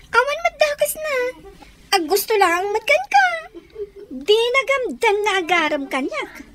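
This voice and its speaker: Very high-pitched gossipy young woman. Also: fairly bright tone, a little hiss or hum, full character voice. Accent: Ilocano. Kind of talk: Ilocano radio drama acting.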